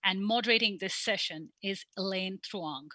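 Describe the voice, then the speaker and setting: A woman hosting the event reads speaker introductions in a crisp, announcer-like way. Her voice is bright and forceful, recorded dry and close with no room sound. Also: mid-range voice, moderate pace, no room sound. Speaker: event host. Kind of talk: scripted introductions.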